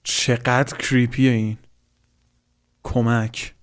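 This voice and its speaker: A man's voice: mid-range voice, flat delivery, clean tone. A man gives casual reaction commentary as a host, swearing informally and dropping in English slang.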